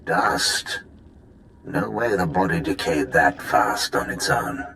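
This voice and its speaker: A man playing a brisk, skeptical spy contact in a video game, with a gravelly, forceful voice and clipped delivery. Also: extremely low pitch, animated, slight background noise, full character voice. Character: wry male undercover Imperial spy contact, brisk, skeptical. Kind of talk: video game cutscene acting.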